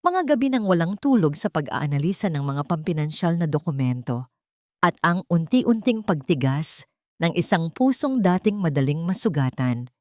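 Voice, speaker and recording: A woman narrating a scripted drama story in a measured, solemn way. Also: lowish voice, a little mellow, no room sound, clean studio sound.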